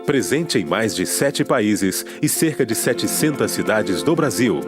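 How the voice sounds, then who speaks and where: Man, promo voice-over, punchy, lively, loud music. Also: fairly low pitch, unhurried. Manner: punchy announcer delivery. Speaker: promo announcer.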